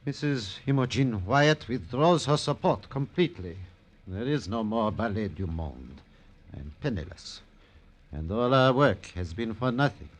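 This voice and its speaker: An actor playing an anguished, unstable male ballet impresario, theatrical and halting, in an old-time radio drama. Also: fairly low pitch, expressive. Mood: despairing. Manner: theatrical, halting, repetitive, formal phrasing.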